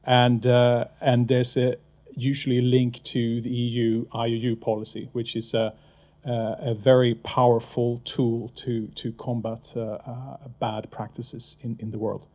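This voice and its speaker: Swedish man on a panel, halting. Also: medium pitch, level tone, a little mellow. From Sweden. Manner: enthusiastic, hesitant with many fillers and restarts. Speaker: panelist, former fisheries regulator. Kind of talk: panel discussion remarks.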